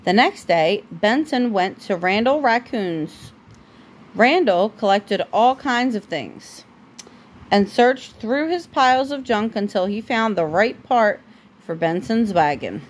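A woman reading a picture book aloud to a child at home, breaking off for informal, gently correcting asides. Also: medium pitch, expressive, slow pace. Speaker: parent reading a bedtime story to a child.

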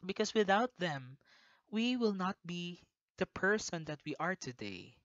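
A lecturer with a Filipino accent narrates a prepared slideshow in an earnest, measured way. The voice-over sounds dry and close, with a slightly dull, lo-fi tone. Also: medium pitch, expressive, fairly bright tone, no echo. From Philippines. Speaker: presenter of a recorded lecture.

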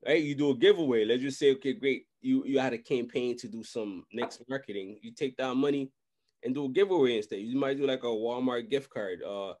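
A young man in a casual chat, giving advice in an animated, slangy way and often repeating phrases. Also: mid-range voice, brisk. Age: young adult. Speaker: podcast host and music industry adviser. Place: small indoor room, video call. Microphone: desk microphone, close.

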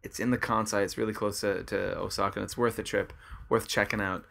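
A man hosting a podcast with a bright, forceful tone and an upbeat, chatty manner. He keeps calling out to his listeners and pitches his show with plain enthusiasm. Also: steady tone, fairly quick, vocal fry. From Canada. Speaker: podcast host. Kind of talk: casual podcast intro and interview. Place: his home in Kobe, Japan.

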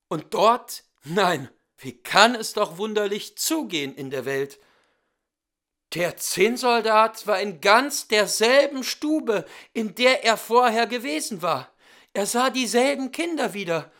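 A man reading a fairy tale aloud as a storyteller, with deliberate, theatrical and expressive delivery. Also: high voice.

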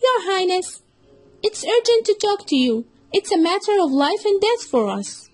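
Woman voicing a high-pitched cunning storybook fox. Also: big pitch swings, moderate pace, a little mellow. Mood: flattering, urgent. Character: cunning fox, smooth flatterer turned scornful accuser. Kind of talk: children's storybook reading.

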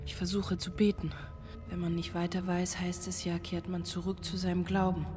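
An actress playing a guilt-ridden single mother in a radio drama, speaking a poetic, deliberate inner monologue. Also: on the low side, completely flat delivery, close to an everyday voice. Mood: brooding, bitter. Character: guilt-ridden single mother searching for her son at night. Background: music.

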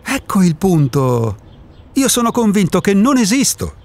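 A man's voice: high-pitched, sing-song, unhurried, full character voice. Actor as a swooping, wry old ghost man. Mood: insistent. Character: elderly reclusive male ghost, wry, contrarian philosopher. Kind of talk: radio drama dialogue.

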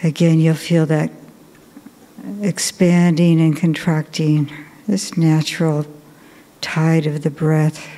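A woman, a Buddhist nun, guiding a meditation in a slow, gentle, soft-spoken way with long pauses. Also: low voice, flat delivery. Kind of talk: guided meditation. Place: large cathedral. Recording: long cathedral reverb.

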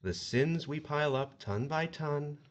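An actor playing a smug, folksy agent of the afterlife who mockingly recites a sinner's crimes in an audio horror drama. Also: male voice, fairly high pitch, measured pace, natural voice. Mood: accusing, smug.

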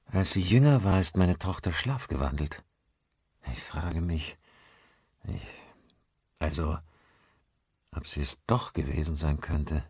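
A man reads a troubled father in an audiobook thriller. He sounds baffled by his own house, in a low voice with lively intonation and a dry, close sound. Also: moderate pace, slightly rough, no room sound, full character voice. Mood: troubled, hesitant. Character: worried, baffled father and homeowner.

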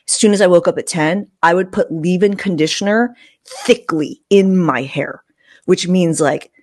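Low-pitched woman, dry close sound. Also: normal speed, darkish tone, no room sound. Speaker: podcast host. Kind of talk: casual personal storytelling. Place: small room indoors, video call. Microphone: close podcast microphone with fluffy windscreen.

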